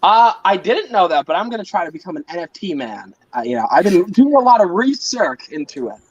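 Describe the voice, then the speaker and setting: High-voiced joking man, dull audio. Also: sing-song, fairly quick, slightly rough. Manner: joking, teasing. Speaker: panel guest. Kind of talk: casual panel chat.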